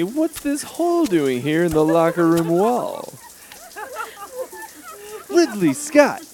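A cocky, spoiled teenage rich kid acted by a man in a comedy audio drama. His voice sits fairly high and swings widely in pitch, full of crude swagger and melodramatic exclamations. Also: creaky, darkish tone, full character voice. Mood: smug, theatrical. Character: pampered, cocky, crude rich teenage boy, Batman's nephew.

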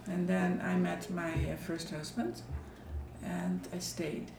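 An older woman with a gravelly, creaky edge to her voice talks slowly and reflectively about her life. She sounds like she is in a roomy living room. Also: lowish voice, level tone, background noise. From Netherlands. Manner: unhurried, reflective storytelling. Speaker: guest, artist. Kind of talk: casual conversation.

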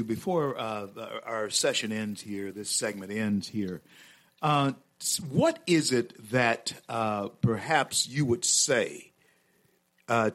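A man hosting a talk show, interviewing a guest with emphatic, rising swells of delivery. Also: mid-range voice.

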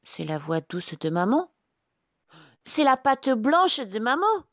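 Little baby goats, really high n squeaky, cheeky singsong, kids storybook. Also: female voice, little pitch movement, measured pace, dry recording, clean studio sound. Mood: hesitant. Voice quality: squeaky, childlike. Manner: loud, singsong taunting. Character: chorus of cheeky, excitable little goat kids.

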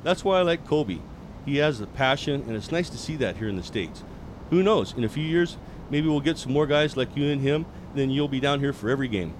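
A man of medium pitch acting as a friendly bar owner in a radio play. He talks in an easygoing, encouraging way over faint background noise. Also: expressive, brisk, close to an everyday voice. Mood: enthusiastic, reflective. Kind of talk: audio drama acting.

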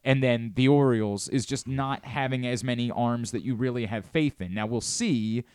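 A man hosts a sports radio show, analyzing the game in an opinionated, emphatic way. His voice sits at a middling pitch, and the studio sound is close and dry. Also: normal speed, a little mellow, clean tone, no room sound. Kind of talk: sports talk commentary.

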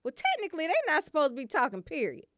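A woman's voice: high-pitched, big pitch swings, rapid-fire, fairly bright tone, dry recording, studio quality. A woman hosting a casual comedic show, playful and teasing, trying on mock accents. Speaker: show host. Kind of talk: casual comedic live chat.

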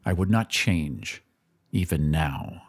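A man's voice: extremely low pitch, lively intonation, slightly rough, pitched below the performer's own voice, full character voice. A man voicing a tired but resolute mathematician, solemn and defiant, in an audio drama. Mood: grave defiance. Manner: solemn, deliberate.

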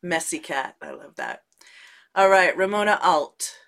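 A woman's voice: on the low side, measured pace, gravelly, fairly bright tone. A woman hosts a group video call, eagerly praising each guest and calling on the next one. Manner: enthusiastic, gushing praise. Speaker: host.

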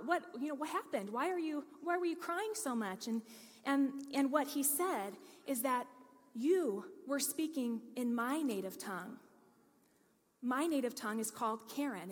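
Woman pastor, high pitched, earnest emphatic sermon delivery, reverberant sanctuary sound. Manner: earnest storytelling preacher, lively emphasis. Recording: long hall reverb.

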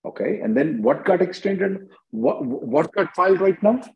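A man, hosting a call-in as an attorney, briskly answering questions and repeating himself. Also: high voice, expressive, gravelly, a little hiss or hum. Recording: dull lo-fi conference call audio.

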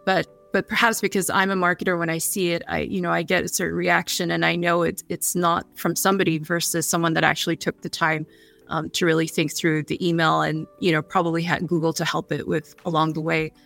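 A woman's voice: lowish voice, level tone, a little fast, vocal fry, a bit bright, soft background music. A woman who works as a marketer, speaking as a panelist in a thoughtful way with many fillers. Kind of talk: panel discussion.

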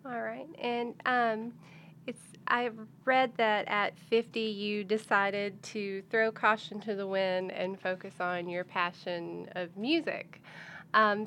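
A woman's voice: medium pitch, a bit bright, a little hiss or hum. A woman hosting a casual interview as a music editor, asking questions in an informal way.

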